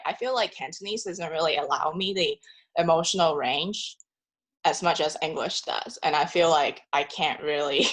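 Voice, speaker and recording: A woman with a notably low voice talks casually over a video call. She rambles in a chatty, loose way, with filler words and easy laughs breaking into her thoughts. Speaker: audience member asking a question. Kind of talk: casual chat.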